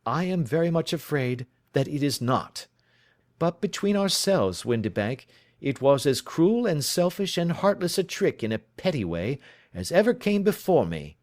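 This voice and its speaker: A man reading the part of a calm, sharp-witted male detective, suave and precise as he lays out his reasoning. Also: fairly high pitch, moderate pace, no echo, clean studio sound, voice pushed up. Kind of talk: audiobook reading.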